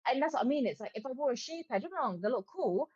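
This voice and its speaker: A woman co-hosting a casual podcast, chatting in a relaxed, agreeable way. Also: high-pitched, rapid-fire, breathy edge, dull audio. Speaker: podcast co-host.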